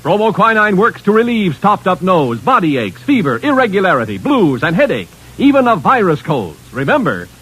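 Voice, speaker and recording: A man's voice: high-pitched, wildly swooping pitch, a little fast, hardly any pauses, a bit bright, breathy edge. A man reading a scripted 1950s radio commercial in an emphatic selling manner. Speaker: commercial pitchman. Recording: vintage radio broadcast.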